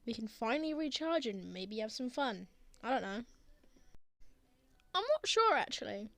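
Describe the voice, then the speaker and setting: A woman's voice: a little high, big pitch swings, normal speed, a bit bright, no echo. A young woman playing a teasing, bashful but tender college student in an intimate roleplay audio drama. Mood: casual, reflective. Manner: playful teasing with bashful hesitations.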